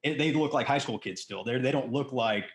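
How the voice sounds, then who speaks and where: A man in his thirties with a medium-pitched voice. He speaks as a podcast host, in casual interview chat. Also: a little fast, reverberant.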